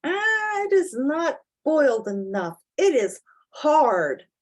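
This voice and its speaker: Exasperated small male mouse for a picture-book tale, played by a woman; high, bossy, emphatic. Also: sing-song, takes it slowly, full character voice. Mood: frustrated. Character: fussy, exasperated little male mouse in a storybook.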